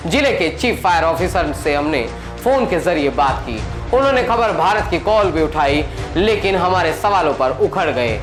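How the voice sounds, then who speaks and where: Animated male news presenter, echoey. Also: on the high side, normal speed, few pauses. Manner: rhetorical, emphatic delivery. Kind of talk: dramatic scripted news narration. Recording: echoey voice over loud background music.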